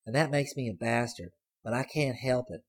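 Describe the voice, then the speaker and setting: A man's voice: medium pitch, normal speed, voice pushed up, close to an everyday voice. A man voicing a brash, teasing teenage girl in an audiobook, speaking bluntly and cockily. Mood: brazen. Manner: blunt, artless, cocky. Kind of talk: audiobook character voice.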